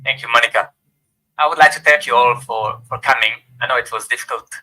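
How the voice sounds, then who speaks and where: An older man with a Croatian accent giving a formal vote of thanks at an event, speaking haltingly and repeating words as he goes. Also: medium pitch, pushed and bright, low-quality recording. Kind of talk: formal closing remarks at an event.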